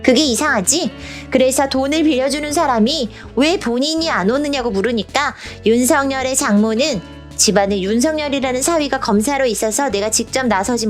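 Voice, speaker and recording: A woman plays a patient young princess in a cartoon explainer. Her voice sits on the high side as she tells things step by step in a casual storytelling way. Faint music runs behind her. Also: natural voice. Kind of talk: scripted animated explainer in casual speech.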